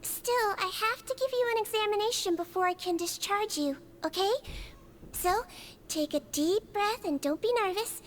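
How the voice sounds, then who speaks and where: A tiny gentle creature nurse. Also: female voice, very high voice, normal speed, slight background noise. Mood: caring. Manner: soft, patient, reassuring. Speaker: head nurse. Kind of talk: video game voice acting. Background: game music.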